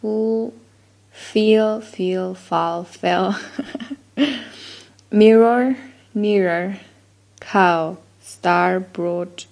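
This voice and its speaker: Slow, gravelly Chilean Spanish-accented woman. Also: mid-range voice, a little mellow. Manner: unsure, trailing off with fillers. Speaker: accent-tag vlogger. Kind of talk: casual reading of a word list.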